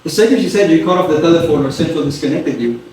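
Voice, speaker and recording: A man's voice: a little high, animated, racing, a little mellow, natural voice. A man playing a polite, dutiful household butler in an amateur dramatic reading of a comic stage play, speaking formally and deferentially. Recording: very echoey room, distant mic, faint noise.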